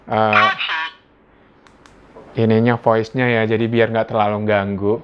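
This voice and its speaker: A man's voice: fairly low pitch, level tone, a little slow, noisy background. An Indonesian man giving a casual hands-on gadget review indoors at home, talking informally as if thinking aloud. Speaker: product reviewer. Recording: dull lo-fi sound.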